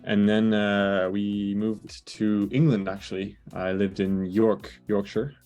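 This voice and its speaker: Young male interview guest, low-pitched, halting, on a video call. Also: flat delivery, darkish tone, faint music behind. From born in the US, raised in France. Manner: hesitant, many fillers and restarts. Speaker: guest, digital nomad. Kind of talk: casual interview chat.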